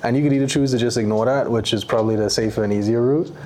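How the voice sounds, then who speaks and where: Soft background noise lies under the voice of a young man, speaking at a middling pitch. He sounds laid-back and slangy, and turns thoughtful as he explains himself in a casual interview. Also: fairly even intonation, rapid-fire. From Bahamas. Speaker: interview guest.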